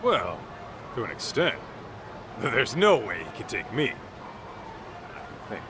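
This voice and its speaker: A man's voice: lowish voice, huge pitch swings, slow, noisy background, heavily acted. A laid-back tough-guy detective. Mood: easygoing. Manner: easygoing, casual, reassuring. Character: laid-back rough-edged male detective, big-brotherly. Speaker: detective's partner. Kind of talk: English game dub.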